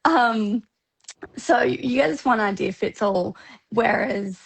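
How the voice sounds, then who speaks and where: A woman with a croaky morning voice, a wellness guest speaking hesitantly with many ums from her apartment over a video call. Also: on the high side, takes it slowly, low-quality recording. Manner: hesitant, full of ums and apologies. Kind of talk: casual interview chat. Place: apartment in Australia.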